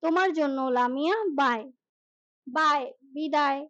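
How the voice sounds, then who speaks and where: A woman with a distinctly high voice reads out a scripted language lesson. Her delivery is measured and deliberate, like a teacher modelling each line. The recording is dry and a little muffled. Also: fairly bright tone, no room sound. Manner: slow deliberate English, brisk translations. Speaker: English teacher.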